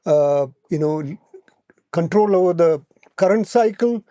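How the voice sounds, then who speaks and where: A man with an Indian accent explaining things as a podcast guest, in a measured, halting way that often repeats words. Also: fairly high pitch, warm tone, dry recording, studio quality. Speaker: podcast guest, policy analyst.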